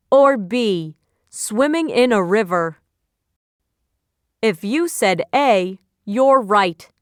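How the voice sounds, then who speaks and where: A woman reading a scripted educational quiz in measured, instructional phrases, like a teacher. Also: medium pitch, clear, resonant tone, no room sound, clean studio sound.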